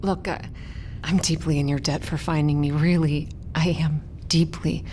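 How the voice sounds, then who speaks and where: An actress playing a desperate, determined shipwreck survivor in an audio drama. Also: female voice, low voice, steady tone, moderate pace, dark tone, a little hiss or hum, natural voice. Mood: weary, pleading. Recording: music under the voice.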